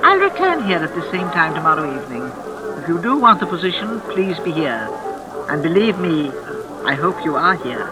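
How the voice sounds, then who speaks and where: An actress playing a lonely, mysterious old gentlewoman in a 1940s radio suspense play, formal, precise and insistent. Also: fairly low pitch, very animated, normal speed, low-quality recording. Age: older. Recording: vintage radio drama with music behind.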